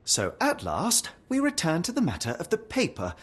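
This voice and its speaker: Arrogant detective, game cutscene, brusque, articulate, restless, lively higher-pitched delivery. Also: male voice, moderate pace, slightly rough, heavily acted. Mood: impatient. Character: sharp, arrogant, restless young male consulting detective. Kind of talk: video game cutscene acting.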